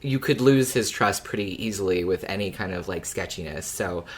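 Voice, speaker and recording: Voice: low voice, moderate pace. A podcast co-host chatting casually and analytically about a story. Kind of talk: casual fan discussion of an audio drama.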